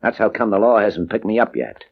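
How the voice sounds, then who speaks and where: A man's voice: fairly low pitch, sing-song, fairly quick, creaky, breathy edge, full character voice. An actor playing a hot-tempered, menacing jilted tough guy in slangy 1950s radio crime drama. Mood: edgy. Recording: muffled vintage radio recording.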